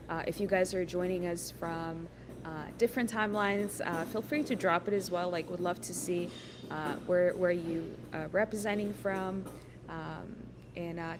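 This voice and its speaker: A woman from Ethiopia with a fairly low voice leads an online workshop over a computer mic. She talks in a friendly, encouraging way and fills her sentences with ums and uhs. A steady noise runs under the call audio. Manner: frequent fillers, friendly and encouraging. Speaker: workshop presenter.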